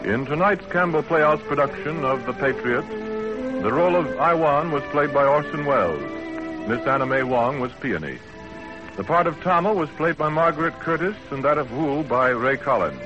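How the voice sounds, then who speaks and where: A man's voice: a little high, expressive, normal speed, over music. A man reading scripted announcements in a polished, formal 1930s radio announcer style. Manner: polished, formal sales delivery. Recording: dull vintage 1930s radio recording.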